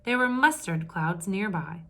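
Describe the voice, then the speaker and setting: A woman reading a picture book aloud to children with lively expression, pausing to ask her listeners questions in hushed asides. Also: mid-range voice. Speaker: read-aloud storyteller for children.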